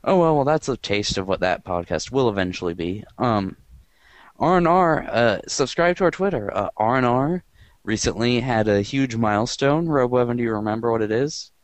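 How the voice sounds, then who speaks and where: A man hosting a podcast in casual chat, with a quiet, monotone voice and a rambling, dry way of talking. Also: mid-range voice, moderate pace, dry recording. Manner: rambling and dry, filler-heavy. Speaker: podcast host.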